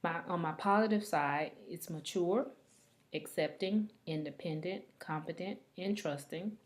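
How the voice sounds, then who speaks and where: A woman reading a scripted presentation aloud like a student, in a halting, deliberate manner. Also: lowish voice.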